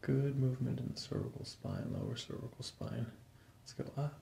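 A very gravelly American man with a dark, relaxed voice talks through a chiropractic exam. He explains calmly and puts short, clipped questions to the patient. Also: medium pitch, normal speed, a little hiss or hum. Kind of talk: hands-on clinical exam narration, ASMR. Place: small carpeted clinic office, indoors.

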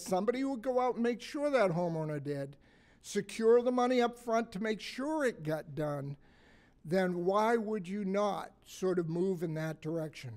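An older man in his sixties with a slightly raspy voice, giving a blunt, folksy conference talk from a podium mic in a hotel meeting room, joking and asking the audience questions. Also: sits high, darkish tone. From Massachusetts, USA. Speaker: conference speaker. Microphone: stand mic on a podium.

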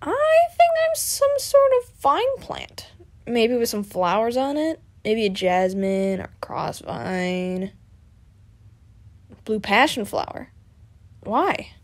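A woman playing a playful, excitable young male adventurer in an audio roleplay, chatty and expressive, affectionate with a partner. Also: on the high side, takes it slowly, heavily acted. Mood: curious, playful. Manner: breezy, giggly, expressive, chatty.

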